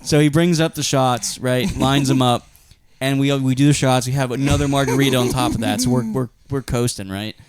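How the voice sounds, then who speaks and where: A young man tells a loose, rambling story and keeps slipping into voices for the people in it. He speaks at an ordinary middle pitch. The sound is close and dry, with no room around it. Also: steady tone, a little fast, clean tone, no room sound. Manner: rambling storyteller, loose and profane, acts out quotes. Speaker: podcast co-host. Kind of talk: casual comedic chat.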